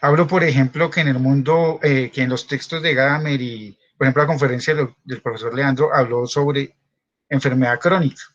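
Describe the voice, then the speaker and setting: A man's voice: mid-range voice, fairly even intonation, fairly quick, a bit bright. A man asking a question in an academic seminar, speaking earnestly and searching for words, over a video call. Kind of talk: academic discussion. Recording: dull, lo-fi call audio.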